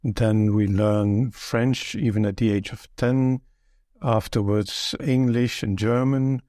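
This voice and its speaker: A man's voice: on the low side, steady tone, takes it slowly, warm tone, dry recording, studio quality. An older man from Belgium whose first language is Dutch, recalling his career thoughtfully as an interview guest with frequent restarts. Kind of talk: relaxed conversational interview.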